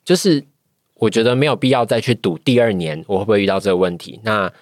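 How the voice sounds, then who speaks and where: Podcast guest: Taiwanese-accented man, lowish voice, hesitant and reflective, dry sound. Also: fast talker, dry recording. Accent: Taiwanese Mandarin. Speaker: guest, voice-acting trainee. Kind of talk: reflective podcast interview chat.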